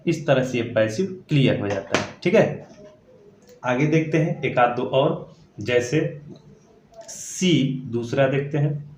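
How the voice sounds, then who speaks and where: A man gives a step-by-step lesson in a medium-pitched voice, going back over his points and checking in often with short tag questions. The recording is roomy and echoing, with a faint layer of noise behind him. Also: slow pace, pauses often, darkish tone. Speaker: English grammar teacher. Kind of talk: classroom lesson explained step by step. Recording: echoey room with faint background noise.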